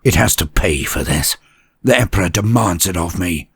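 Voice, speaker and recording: A man's voice: low voice, lively intonation, moderate pace, husky, no echo, full character voice. A man voicing a grim, determined young male trooper who speaks in clipped, defiant lines.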